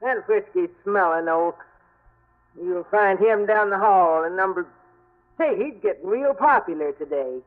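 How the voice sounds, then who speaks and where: A gruff, nosy rooming-house manager. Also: male voice, sits high, lively intonation, unhurried. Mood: grumbling. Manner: gruff, suspicious, blustering. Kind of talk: 1950s radio drama acting. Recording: tinny old radio broadcast.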